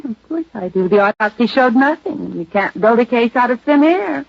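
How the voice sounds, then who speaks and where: A woman's voice: on the high side, expressive, a little fast, gravelly, no room sound. Smug woman suspect, lo-fi radio. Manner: taunting, self-assured. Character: smug, defiant, cool female murder suspect. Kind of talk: 1950s radio detective drama acting. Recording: dull, lo-fi old radio recording.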